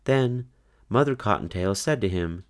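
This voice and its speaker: A male storybook narrator reading aloud for children. His voice sits fairly low and moves through very lively intonation, with deliberate, expressive phrasing.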